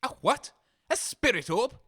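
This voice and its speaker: High, bright-voiced male co-host. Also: very animated, a little slow, breathy edge. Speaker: radio show co-host. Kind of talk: comedy radio banter in character.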